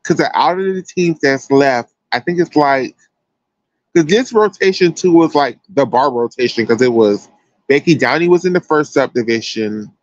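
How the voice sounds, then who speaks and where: A man's voice: mid-range voice, normal speed, no room sound, highs cut off. An American man giving casual, chatty sports commentary as a livestream host, emphatic and slangy.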